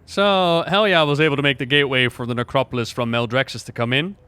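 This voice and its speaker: A man giving casual, excited and conversational reaction commentary as a gaming lore creator. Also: medium pitch, fast, smooth, dry recording.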